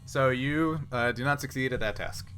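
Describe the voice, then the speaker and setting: A man acts as game master for a tabletop sci-fi game. He talks casually and haltingly at a middling pitch, pausing to find words, with some noise in the background. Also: a bit bright.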